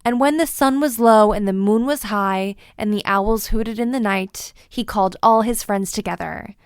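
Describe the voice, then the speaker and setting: A woman's voice: medium pitch, moderate pace, clean tone, no echo, clean studio sound. A woman narrating a children's storybook expressively, with playful, dramatic emphasis. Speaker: children's book read-aloud presenter.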